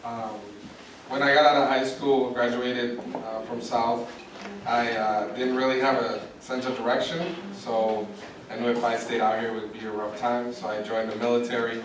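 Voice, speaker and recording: A middle-aged man, a police officer from Puerto Rico and Milwaukee, giving a halting, reflective answer on a panel. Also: medium pitch, flat delivery. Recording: echoey hall, distant mic, background noise.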